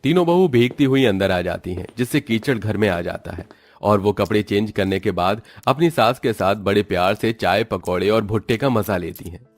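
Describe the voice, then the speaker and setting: A man's voice: on the low side, moderate pace, no room sound. A man reading scripted narration as the storyteller of a cartoon moral tale.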